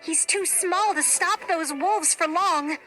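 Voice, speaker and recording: A woman's voice: very high-pitched, slow pace, fairly bright tone, soft background music, pitched above the performer's own voice, full character voice. A woman voicing a kind, brave, impulsive young heroine in a storybook, earnest and exclamatory. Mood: urgent.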